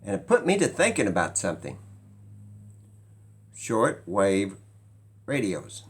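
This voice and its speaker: Slow-talking man, fairly low voice. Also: huge pitch swings, gravelly, husky. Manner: halting, pausing mid-sentence, musing. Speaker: video host. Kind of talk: informal skeptical explainer monologue.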